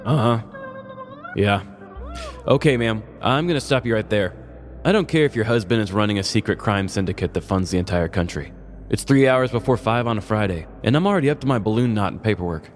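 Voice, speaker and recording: Guy doing a lazy jaded cop, low voice, blunt, brushes people off, comedy story with music. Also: lively intonation, a little fast, big-room sound, lightly acted. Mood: dismissive, jaded. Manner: dismissive, blunt. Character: jaded, skeptical, lazy male police officer. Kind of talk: comedic podcast story acting.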